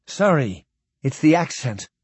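A man plays a young space-navy midshipman, wry and easygoing. His chatty speech has very lively pitch and a slightly rough, husky grain. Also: a little slow, no room sound, full character voice. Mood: amused.

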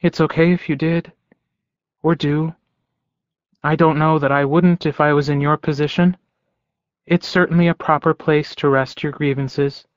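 A man voicing a serene, perceptive motherly female werewolf in an audiobook, speaking calmly and knowingly with deliberate phrasing. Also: on the high side, dry recording, dull audio, pitched above the performer's own voice, natural voice. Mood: calm candour.

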